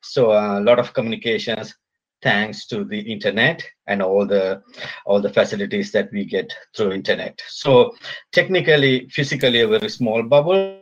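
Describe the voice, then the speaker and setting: A man from Sri Lanka, a polytechnic lecturer, speaking as a guest in a casual remote interview, halting with many fillers and restarts. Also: fairly low pitch, highs cut off.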